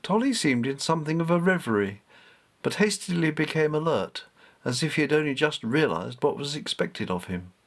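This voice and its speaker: Expressive male storyteller, animated intonation. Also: on the high side, normal speed. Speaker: author reading his own novel. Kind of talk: scripted storybook narration.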